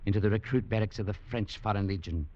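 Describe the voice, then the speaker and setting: An actor playing an earnest young gentleman, narrating a 1940s radio drama in a measured, grave way. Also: on the low side, natural voice. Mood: grave, reflective. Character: earnest, gallant young English gentleman turned legionnaire, narrating. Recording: muffled 1940s radio recording, music behind.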